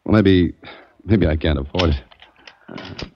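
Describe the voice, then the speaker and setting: A wry, deep-voiced adventurer. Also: male voice, slow pace, a little hiss or hum. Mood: curious, wry. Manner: wry, casual and probing. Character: male adventurer hero, curious and wry. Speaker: narrator and lead. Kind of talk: 1940s radio acting. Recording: dull dry old radio recording.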